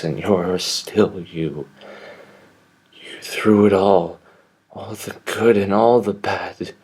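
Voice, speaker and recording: Brief: a man doing a fading little engine, hushed and husky, slow and soft. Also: sits low, exaggerated voice, poor audio. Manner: breathy whispering, slow and tender. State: weak, dying. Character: dying small male engine, gentle and loyal. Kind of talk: fan audio drama acting.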